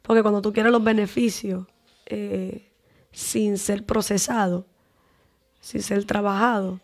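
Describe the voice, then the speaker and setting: Young Dominican evangelist with a Dominican accent; chatty, thorough talker in a podcast interview. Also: female voice, medium pitch, steady tone, takes it slowly. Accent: Dominican Spanish. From Dominican Republic. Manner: talkative, lengthy explanations. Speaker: evangelist guest.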